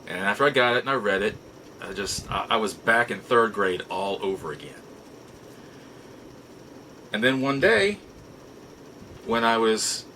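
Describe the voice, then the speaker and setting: Bright-voiced middle-aged man, halting and reflective. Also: on the low side, slight background noise, low-quality recording. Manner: halting, reflective, with emphatic repeats. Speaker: show host. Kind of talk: casual nostalgic storytelling to viewers.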